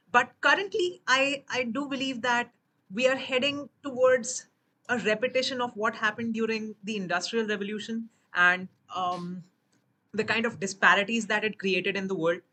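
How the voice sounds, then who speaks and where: Woman on a webinar asking a panelist a question, bright sharp voice, stops and restarts. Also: a little high, fairly even intonation, moderate pace, rough recording. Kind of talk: question to a panelist, hesitant phrasing.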